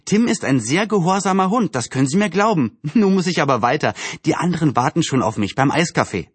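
A man's voice: a little high, big pitch swings, fairly quick, slightly rough, dry recording, pitched above the performer's own voice. A man voicing a cheerful, chatty young girl with a teasing, eager manner in a storybook reading. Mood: polite, cheerful.

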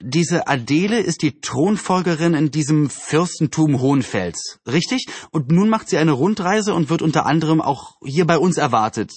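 Older brother in a children's tale, read by a man: methodical, polite doubter, lightly husky. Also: on the high side, lively intonation, moderate pace, hardly any pauses, darkish tone, no room sound, voice pushed up. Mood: skeptical.

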